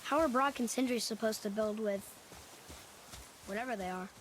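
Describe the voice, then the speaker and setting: A man's voice: sits high, moderate pace, a bit bright, a little hiss or hum. A young male actor playing a curious, eager demigod boy in a video game, youthful, inquisitive and earnest in delivery. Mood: questioning, earnest. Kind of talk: video game character acting.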